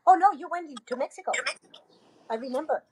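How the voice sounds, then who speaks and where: A woman's voice: sits high, animated, a little fast, darkish tone, a little hiss or hum. A woman hosting an interview, asking friendly questions and giving brief affirmations. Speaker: interviewer host. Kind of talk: conversational interview.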